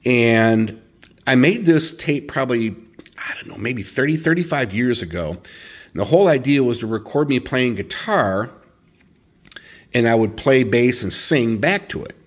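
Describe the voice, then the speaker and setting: A man's voice: medium pitch, lively intonation, measured pace. A man in his fifties narrating a casual home vlog off the cuff, recorded indoors on a handheld phone. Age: 50s. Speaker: DIY video host.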